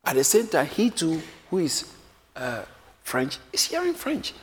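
A man preaching a sermon, lively and often acting out the voices of a crowd, joking with his congregation. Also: fairly high pitch, measured pace. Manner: animated, acts out crowd voices, jokes with congregation. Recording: echoey hall with faint room noise.

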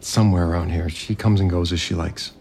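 A man's voice: low-pitched, steady tone, fairly quick, creaky, darkish tone, a little hiss or hum. An actor playing a grieving, overwhelmed resort heir in an audio drama, hesitant and apologetic, placating whoever he talks to. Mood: strained, mournful. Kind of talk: naturalistic audio drama acting.

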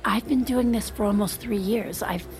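A woman's voice: medium pitch, normal speed, creaky, darkish tone, lightly acted. A woman acting in an audio drama as a reserved married mother in her thirties, confiding in a hesitant, self-deprecating way. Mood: guarded, confessing. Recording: music playing behind the voice.

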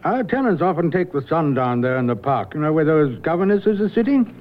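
An actor playing a fussy, deferential apartment manager in a 1970s radio drama, chatty and polite. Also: male voice, fairly high pitch, very animated, a little fast. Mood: obliging. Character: fussy, obliging male apartment building manager. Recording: tinny band-limited old radio recording.

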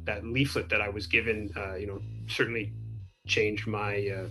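Hesitant man recalling, lowish voice. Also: flat delivery, bright, forceful tone, soft background music, low-quality recording. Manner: hesitant, many "uh" pauses. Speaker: former student union officer. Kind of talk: interview recollection.

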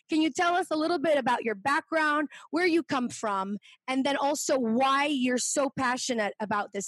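High-voiced eager woman podcast host. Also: no echo. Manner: enthusiastic, bilingual code-switching intro. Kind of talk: podcast interview hosting.

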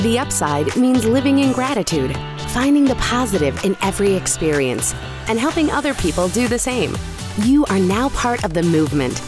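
Lively woman announcing over music. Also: a little high, normal speed. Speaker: podcast intro announcer. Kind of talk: scripted show intro over music. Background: intro music.

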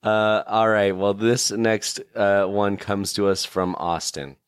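Guy hosting a chatty podcast, lowish voice, kinda halting, dry close sound. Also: level tone, smooth, dry recording. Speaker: podcast host. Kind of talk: casual podcast chat.

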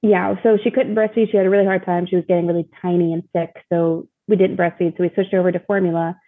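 A woman's voice: on the low side, fairly even intonation, fast talker, no room sound. A young woman guest telling a personal story casually and candidly, in informal speech peppered with "like". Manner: informal, candid, often uses "like". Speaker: interview guest, mother sharing her experience. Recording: thin, phone-like call audio.

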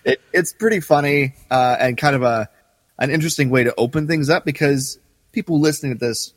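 A man's voice: fairly high pitch, fairly quick, no room sound, long reverb tail. A middle-aged man co-hosting a podcast in casual, conversational discussion. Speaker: podcast co-host.

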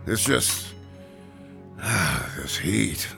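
An actor playing a gruff, heat-weary husband in a radio drama, grumbling and sighing. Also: male voice, fairly low pitch, animated, very slow, pushed and bright, soft background music, full character voice.

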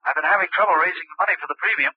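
An actor playing a nervous, desperate insurance customer making a phone call in a 1940s radio drama. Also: male voice, high voice, rapid-fire, husky, dry recording. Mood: anxious, desperate. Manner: nervous, hesitant. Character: anxious, desperate male insurance policyholder on telephone. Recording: dull, dry old radio recording.

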